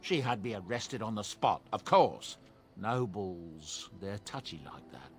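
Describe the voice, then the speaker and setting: A man's voice: on the low side, lively intonation, unhurried, faint music behind. A man voicing a genial older dwarf merchant in a fantasy game, telling stories in a courteous, theatrical, earnest way. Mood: wry storytelling. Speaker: travelling merchant. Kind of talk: video game character dialogue, storytelling.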